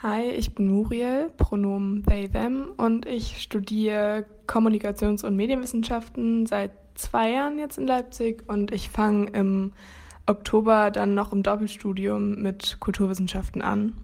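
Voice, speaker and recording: A young student records a phone voice message at a middle pitch. The voice has a strong gravelly fry, and the speech is relaxed and fluent. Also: unhurried. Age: young adult. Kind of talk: voice message introduction.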